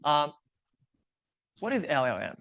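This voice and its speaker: A man's voice: fairly high pitch, sing-song, a little slow, a bit bright, lo-fi sound. A man whose first language is Chinese, giving an academic seminar lecture to a live audience, explaining ideas step by step with light self-deprecating humor and frequent checks like "right?". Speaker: invited seminar speaker, engineering professor.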